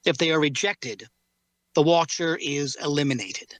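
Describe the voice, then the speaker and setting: A man playing a formal, guarded cursed warrior in an audio drama table read, speaking courteously in measured, deliberate phrases. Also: fairly high pitch, a bit bright, dry recording, natural voice. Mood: grave, explanatory.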